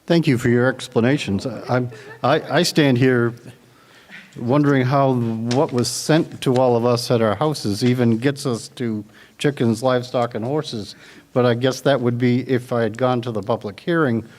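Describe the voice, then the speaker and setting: A man at a town meeting who speaks in a halting, courteous way. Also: medium pitch, steady tone, a little mellow, in a large hall. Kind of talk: public comment.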